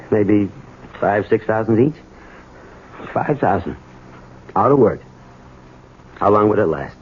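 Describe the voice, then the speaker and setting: An actor playing a troubled, desperate husband in a 1970s radio drama, halting and emotional. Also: male voice, medium pitch, phone-quality sound, natural voice. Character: troubled, desperate husband and small business owner, bitter toward his father. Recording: thin, noisy old radio broadcast.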